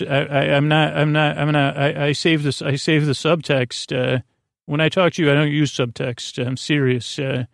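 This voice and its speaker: A man's voice: fairly high pitch, creaky, dry recording, clean studio sound. A man hosting a bedtime podcast in a meandering, self-deprecating way, stammering and repeating words as he goes. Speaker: podcast host. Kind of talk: rambling bedtime podcast intro.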